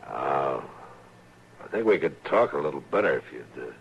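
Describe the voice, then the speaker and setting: An American man playing a steady, weary frontier marshal in a radio western, measured, polite and restrained. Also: a bass voice, vocal fry, muffled recording, exaggerated voice. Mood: calm, firm. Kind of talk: 1950s radio western acting. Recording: old noisy radio recording.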